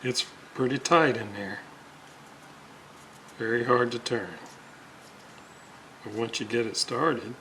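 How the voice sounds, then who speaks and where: A man's voice: medium pitch, low-quality recording. A man giving casual, hands-on repair commentary in fragmented remarks, half muttering to himself as he works. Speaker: radio restorer hosting a hands-on repair video. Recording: steady mains hum and loud background noise.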